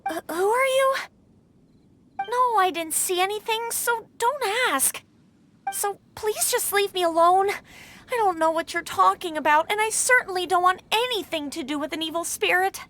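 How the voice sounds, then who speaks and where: A woman's voice: extremely high pitch, lively intonation, normal speed, fairly bright tone. An actress playing a frightened, snappish young woman in a video game, defensive and whiny in manner. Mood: scared, irritated.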